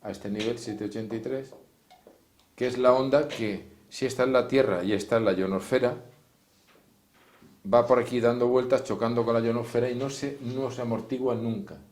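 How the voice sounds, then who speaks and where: A man's voice: mid-range voice, steady tone, normal speed, a little mellow. A man giving an informal, didactic class, explaining step by step and addressing his listeners directly. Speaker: therapist teaching a class. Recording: echoey room.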